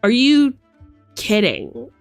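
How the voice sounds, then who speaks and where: A woman's voice: fairly high pitch, huge pitch swings, slow pace, no echo. A woman streamer and game master delivering a casual, opinionated rant, emphatic, theatrical and sweary, close on the microphone. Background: music.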